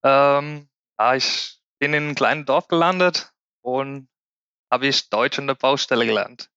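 A man's voice: medium pitch, a little slow, pushed and bright, smooth, no echo, dull audio. A man from Spain with a Spanish accent and a regional German dialect, a podcast guest speaking German carefully and sometimes searching for words. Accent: Spanish, with a Lower Franconian German dialect from the Aschaffenburg area. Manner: careful, searching for words, occasional grammar slips. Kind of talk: casual interview chat.

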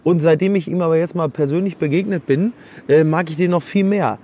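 A man's voice: a little high, brisk, warm tone. A man co-hosting a casual, humorous football podcast, telling anecdotes in a joking, sarcastic way. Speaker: podcast co-host.

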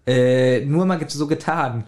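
A man around forty in casual podcast chat, telling anecdotes in a halting but animated way and acting out quotes. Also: mid-range voice. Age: 30s or 40s. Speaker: podcast co-host.

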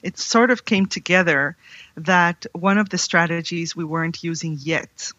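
A woman's voice: on the low side, a little slow, sharp tone, dry recording. A middle-aged woman from Ecuador, a company founder, answering interview questions thoughtfully with examples. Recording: dry, dull lo-fi sound.

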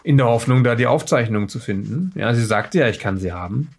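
A man playing a shifty former safecracker in an audio drama, self-justifying and rambling, with a gravelly voice and stammered restarts. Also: mid-range voice, lively intonation, fairly quick. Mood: evasive, defensive. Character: shifty former safecracker defensively recounting being attacked and kidnapped. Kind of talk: improvised audio drama dialogue.

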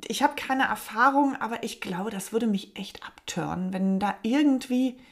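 Eine Frau mit leichtem Lispeln, die als Co-Host offen und locker plaudert und dabei oft lacht. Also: female voice, a little high, moderate pace. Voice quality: slight lisp. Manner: frank, chatty, laughs often. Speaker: podcast co-host. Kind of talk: casual chat.

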